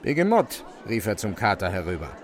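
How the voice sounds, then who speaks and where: A man's voice: lowish voice, huge pitch swings, a little fast, a faint crowd. A man narrating a literary radio drama as a dramatic storyteller.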